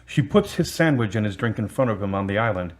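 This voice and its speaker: A man's voice: on the low side, expressive, fast talker. A man narrating a scripted audio drama as its storyteller, reading out the scene directions.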